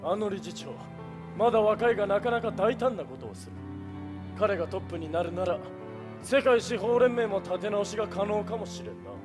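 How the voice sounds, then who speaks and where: An actor playing a stern, dignified, worldly-wise official in a live stage play, speaking in formal phrases. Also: male voice, sits high, animated, moderate pace, over music. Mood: grave approval.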